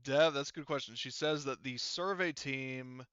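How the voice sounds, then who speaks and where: A man speaking casually as a game master, explaining the scene in a halting way with many asides. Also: medium pitch, a bit bright, clear, resonant tone, no echo, muffled recording.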